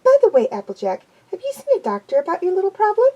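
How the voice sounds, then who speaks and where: A woman's voice: very high voice, wildly swooping pitch, fast talker, dull audio, pitched above the performer's own voice, heavily acted. A woman voicing a refined, fussy female unicorn pony who speaks in a posh, prim way.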